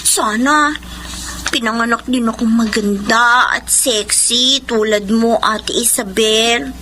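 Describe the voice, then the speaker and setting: A woman's voice: on the high side, slow pace, pushed and bright, a little hiss or hum. A woman playing an insecure, adoring teenage younger sister in a radio drama, girlish and plaintive. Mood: self-pitying. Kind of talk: Filipino radio drama acting.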